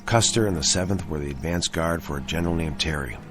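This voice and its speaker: An actor playing a seasoned, level-headed army tank sergeant in a radio drama, measured and plain-spoken. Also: male voice, very deep voice, fairly bright tone, soft background music. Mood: grave, recounting.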